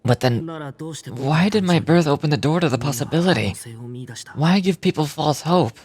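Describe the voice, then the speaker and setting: A man's voice: on the high side, expressive, normal speed, darkish tone, slightly rough. A man voicing an earnest, brooding young man in a video game. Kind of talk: scripted video game voice acting. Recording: game audio with background music.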